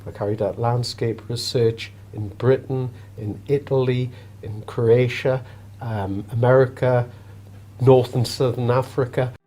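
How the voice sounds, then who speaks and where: A middle-aged archaeologist calmly narrates, speaking in a measured, plain way with the odd filler. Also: male voice, mid-range voice. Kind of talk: recorded narration of career.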